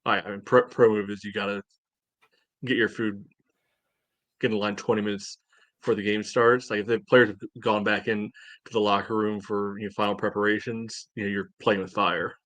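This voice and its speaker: A man co-hosting a sports podcast, talking casually in a halting, conversational way. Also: fairly low pitch, little pitch movement, a bit bright, no room sound. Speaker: podcast co-host.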